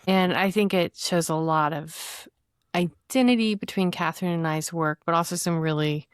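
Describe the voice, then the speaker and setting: A woman with a low-pitched voice speaks as a guest on a poetry program. She moves between conversational talk and reading verse, in a very dry recording with little room sound. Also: moderate pace, clean tone, no room sound. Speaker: guest poet. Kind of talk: literary conversation with poem reading.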